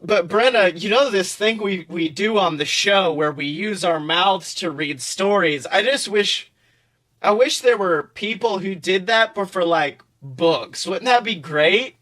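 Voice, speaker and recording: A man co-hosting a podcast with casual comedic banter in a playful, excitable, giggly manner. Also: sits high, a little slow. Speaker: podcast co-host and author.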